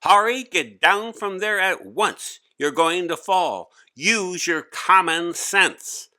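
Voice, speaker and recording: Man as a stern schoolteacher, commanding, raised pitch, bright forceful tone, storybook character. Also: animated, slow, full character voice. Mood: alarmed, scolding. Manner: commanding, scolding. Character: tall, exasperated, stern schoolteacher. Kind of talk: children's storybook read-aloud.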